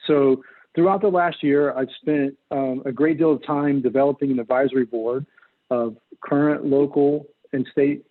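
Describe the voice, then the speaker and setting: A middle-aged American man giving earnest, measured answers as a political candidate in an interview. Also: mid-range voice, steady tone, narrow, tinny audio. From North Carolina, United States. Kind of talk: interview answers, campaign talk.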